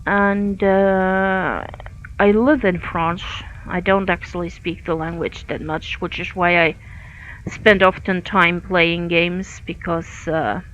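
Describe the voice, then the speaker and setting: A Finnish-accented woman streams a game and comments as she plays, halting often with fillers. She speaks slowly. The audio is dull and lo-fi, with a faint hiss underneath. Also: on the low side, steady tone, fairly bright tone. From Finland. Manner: hesitant, many fillers, thinking aloud. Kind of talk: live gameplay commentary.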